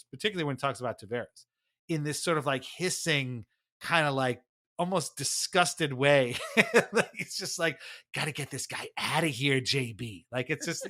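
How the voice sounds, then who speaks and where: Bright, higher-pitched man chatting about sports. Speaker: podcast host. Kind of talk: casual sports talk about hockey.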